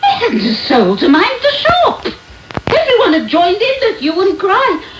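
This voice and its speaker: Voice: extremely high pitch, huge pitch swings, moderate pace, exaggerated voice. A performer playing an earnest shopkeeper giving witness in a radio drama, urgent and deferential. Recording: echoey, faintly noisy radio tape.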